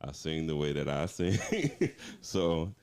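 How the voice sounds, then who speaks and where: A middle-aged man, a music director, talking as a guest in a casual interview, reflective and relaxed with frequent restarts. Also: a bass voice, takes it slowly, clear, resonant tone.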